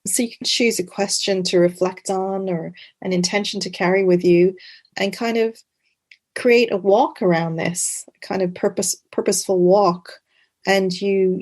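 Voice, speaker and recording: A woman's voice: fairly low pitch, darkish tone. A woman from Montreal speaking as an interview guest in a reflective, conversational way, earnest and often rephrasing mid-thought. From Montreal, Canada. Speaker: interview guest, author and journalist.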